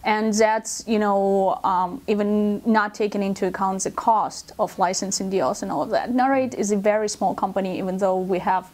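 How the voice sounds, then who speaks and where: A woman's voice: mid-range voice, fairly even intonation, normal speed, hardly any pauses, darkish tone. A woman from Russia with a Russian accent, talking as a company executive in a promotional interview, often repeating words and leaning on filler phrases. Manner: hesitant phrasing with frequent "you know" and word repetitions. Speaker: company business development executive being interviewed.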